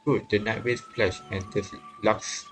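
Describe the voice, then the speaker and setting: A man reading and translating a visual novel aloud, haltingly, often correcting himself and repeating phrases. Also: lowish voice, steady tone. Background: game music.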